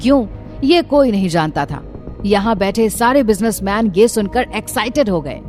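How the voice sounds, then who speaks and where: A woman's voice: medium pitch, lively intonation, normal speed, darkish tone. A woman narrating a scripted audio drama as a dramatic storyteller. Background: background music.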